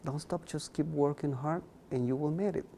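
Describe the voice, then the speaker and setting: Gravelly-voiced man, earnest. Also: a little high, normal speed, mellow, a little hiss or hum. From Guatemala. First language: K'iche' Mayan. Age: young adult. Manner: passionate, repeats words for emphasis. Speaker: guest. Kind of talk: earnest conversational interview.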